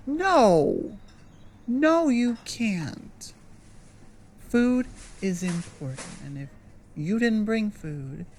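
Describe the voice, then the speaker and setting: Slow, hesitant goblin girl played by a woman. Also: mid-range voice, a little mellow, slight background noise. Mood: concerned, insistent. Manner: hesitant, rambling, earnest, halting. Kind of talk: ASMR roleplay audio drama.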